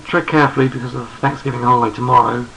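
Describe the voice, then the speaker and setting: A man with a gravelly, croaky voice walks through trading charts. He is losing his voice and sounds hoarse. He speaks at a relaxed pace, thinking aloud and repeating himself as he goes. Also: mid-range voice, racing, a little hiss or hum, rough recording. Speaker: trading analyst. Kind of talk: screen-recorded market chart commentary.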